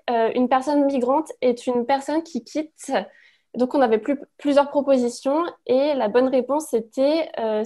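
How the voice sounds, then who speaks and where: A woman's voice: high voice, mellow. A woman co-facilitating a webinar, running a quiz in a hesitant, filler-heavy way. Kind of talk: webinar presentation. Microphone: video call.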